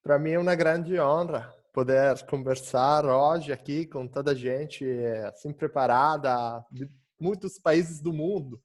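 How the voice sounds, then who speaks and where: Man, young, Italian accent, high-ish voice, hesitant, academic lecture, video call. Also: a little slow. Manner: hesitant, searching for words. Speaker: guest lecturer.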